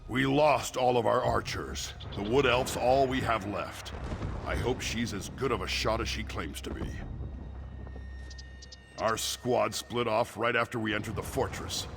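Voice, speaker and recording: An actor playing a gruff orc soldier in a video game, weary and commanding, with terse, urgent delivery. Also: male voice, lowish voice, a little slow, a bit bright. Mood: grim, urgent. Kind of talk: scripted video game dialogue.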